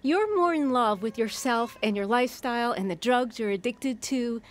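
Actress as high, lively young American wife. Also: female voice, moderate pace, close to an everyday voice. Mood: hurt, accusing. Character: young wife, eager, blunt and sharp-tongued. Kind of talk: audio drama acting.

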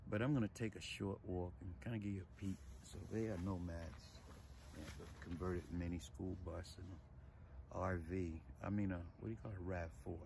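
A man's voice: sits low, vocal fry, background noise. An older man in his sixties talking casually and in a laid-back way to viewers as a van life vlogger. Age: older, around 60s. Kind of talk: casual rambling vlog talk to viewers. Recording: music playing behind the voice. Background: music.

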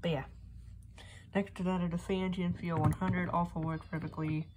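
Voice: medium pitch, little pitch movement, normal speed. A hobbyist, heard as a woman, giving a casual, rambling, unscripted show-and-tell of a collection into a handheld camera. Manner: rambling, unscripted, often unsure. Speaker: hobbyist collector showing weather radios. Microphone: handheld phone or camera. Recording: loud background noise, handheld camera audio.

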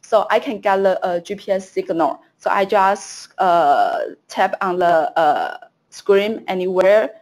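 Woman presenter, halting webinar software demo. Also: medium pitch, lively intonation. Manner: hesitant, many fillers and restarts.

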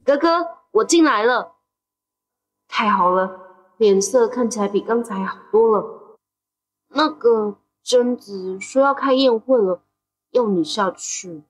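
A woman playing a gentle, anxious fourteen-year-old boy in an audio drama, hesitant, earnest and polite. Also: fairly high pitch, expressive, takes it slowly, low-quality recording. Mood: curious, tentative. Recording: background music under the voice.

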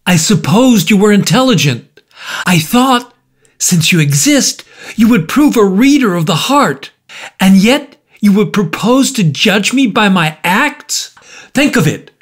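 A man's voice: sits high, expressive, measured pace, breathy edge, pitched above the performer's own voice, exaggerated voice. A man playing a tormented, defiant murderer in a storybook reading, crying out in impassioned, rhetorical pleas. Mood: indignant, pleading. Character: anguished male murderer, defiant, tormented, pleading.

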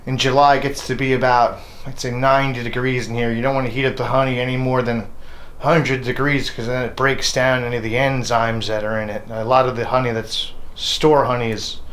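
A middle-aged man, a hobbyist beekeeper, talking plainly through a hands-on demonstration in his garage, relaxed and a bit halting as he works. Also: medium pitch. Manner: relaxed, plain-spoken, thinking aloud with restarts. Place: cluttered wooden garage workshop, indoors. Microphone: camera mic, speaker often turned away.

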